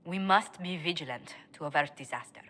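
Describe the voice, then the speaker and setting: An actress voicing a poised, formal court diplomat in a fantasy game, speaking in a measured way. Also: female voice, deep voice, bright, forceful tone. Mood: grave. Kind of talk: video game dialogue acting.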